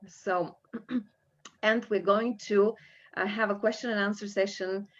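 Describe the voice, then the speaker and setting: A woman hosting a live coaching webinar over a video call, talking with many fillers and pauses. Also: mid-range voice, steady tone. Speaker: webinar host and coach trainer. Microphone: computer mic on video call. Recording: dull lo-fi call audio.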